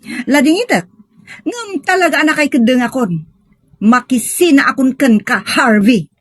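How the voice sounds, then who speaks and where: A woman's voice: high voice, lively intonation, moderate pace, no room sound. A woman playing a fed-up, scornful wife who scolds her sick husband in an Ilocano melodrama. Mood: exasperated, scornful. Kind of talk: Ilocano radio-style melodrama.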